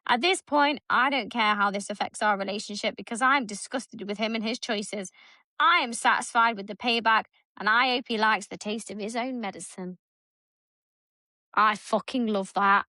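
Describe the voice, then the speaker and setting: Woman, thirties, podcast co-host. Bright, higher voice, blunt and sweary, dry close mic. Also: no echo. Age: 30s. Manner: blunt, swears freely, animated storytelling. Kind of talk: casual chat reading a story aloud.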